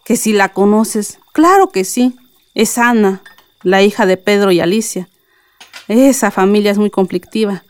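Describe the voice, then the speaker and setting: An actress playing a doting, fretful middle-aged village mother with a Mexican accent, exclamatory and expressive, in a radio drama. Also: mid-range voice, measured pace, dry recording, lightly acted. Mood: worried disapproval.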